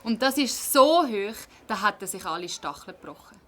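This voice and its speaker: A woman's voice: mid-range voice, lively intonation, normal speed, fairly bright tone, slight background noise, lightly acted. A woman playing a chatty, protective hedgehog mother in a children's audio play, with a Swiss German accent and a folksy, emphatic manner. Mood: indignant, defensive.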